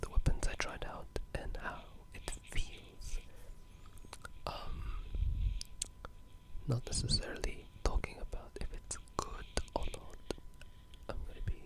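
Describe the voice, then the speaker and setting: A person speaking in a breathy, unvoiced whisper, casually discussing games in an ASMR style with a hushed, halting delivery. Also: low-quality recording. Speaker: ASMR gaming video creator.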